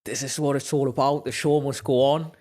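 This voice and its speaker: A young man from Zambia with a Newcastle accent, a podcast guest telling lively stories about his work and acting out what people said. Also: brisk. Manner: animated storyteller acting out quoted dialogue, lifts in pitch when excited. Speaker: podcast guest, actor and musician. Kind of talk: casual storytelling chat.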